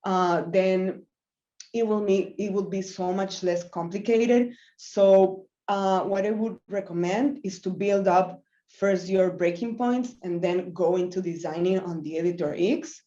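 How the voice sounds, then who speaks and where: A woman's voice: mid-range voice, unhurried, dark tone. A woman in her thirties from Venezuela giving a live screen-share design tutorial, easygoing, with many ums and self-corrections. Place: small office room, indoors. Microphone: laptop webcam mic on a video call. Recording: roomy, dull lo-fi call audio.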